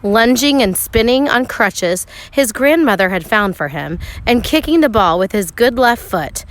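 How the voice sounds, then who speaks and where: A woman narrating a children's storybook aloud, expressively, with measured phrasing and dramatic pauses for emphasis. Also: fairly high pitch, expressive. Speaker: teacher reading a picture book aloud.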